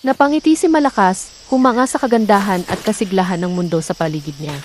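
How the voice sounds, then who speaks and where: A woman narrating a folktale from a script in a dramatic, deliberate storytelling manner. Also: mid-range voice. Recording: clear background noise, nature sound effects.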